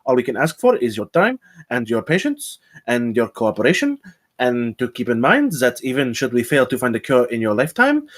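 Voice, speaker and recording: A man at a script table read playing an earnest scientist who speaks in a measured, hopeful way. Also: mid-range voice, huge pitch swings. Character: earnest scientist addressing patients with hope and patience.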